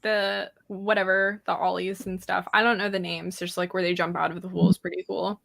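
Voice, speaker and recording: A woman's voice: lowish voice, little pitch movement, a little fast, vocal fry, fairly bright tone. A woman from California chatting as a guest on a casual livestream, bubbly and laughing easily, often saying "like.". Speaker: guest co-host.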